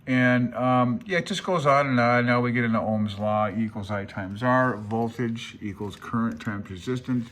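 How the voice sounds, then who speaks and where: A man with a mid-pitched, brightly edged voice talks through his hobby as an amateur host. His delivery is unscripted and folksy, drifting from point to point. He readily puts himself down and admits when he is unsure. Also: moderate pace, few pauses. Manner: rambling, folksy, self-deprecating, unsure. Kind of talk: casual unscripted vlog explanation.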